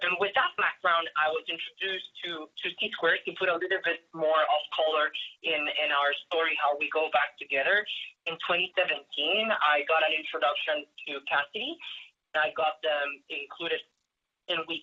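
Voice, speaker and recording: A man's voice: on the high side, sharp tone, husky. A man from Guatemala giving an earnest, thankful webinar presentation, often restarting and repeating words. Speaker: agency partner presenting in a webinar. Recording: muffled lo-fi audio.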